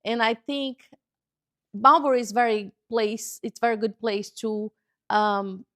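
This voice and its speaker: A woman in her forties from Brazil, whose first language is Portuguese, speaking English with a Brazilian accent in a TV interview and pausing often to find words. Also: on the high side, fairly even intonation, a bit bright, dry recording, clean studio sound. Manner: halting, searching for words, cheerful. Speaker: guest author. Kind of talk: casual interview chat. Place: local TV studio.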